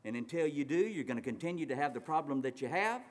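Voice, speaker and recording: A man preaching in a church, his fairly high voice ringing with a long hall reverb. He swings from loud urgent passages to low, slow, quiet ones, stretching words out for weight. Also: expressive. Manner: dramatic swings between loud and quiet, slowing for emphasis. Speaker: pastor. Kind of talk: sermon.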